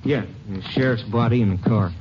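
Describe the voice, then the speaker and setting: A man's voice: fairly low pitch, fairly quick, close to an everyday voice. An actor playing a defensive local lawman in a 1950s radio drama, answering in a curt, matter-of-fact way. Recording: dull old radio recording with faint hiss.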